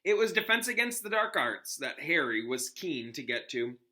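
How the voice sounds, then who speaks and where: Bright, forceful man reading a story aloud. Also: on the high side, sing-song, moderate pace. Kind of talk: expressive story read-aloud with casual asides.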